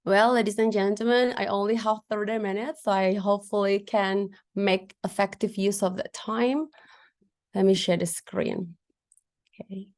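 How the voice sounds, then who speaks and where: A woman's voice: medium pitch, moderate pace. A woman lecturer with an Indonesian accent, whose first language is a regional Indonesian one, giving a webinar presentation over a video call, with fillers, restarts and questions to the audience. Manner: lively, frequent fillers and restarts, addresses audience with tag questions.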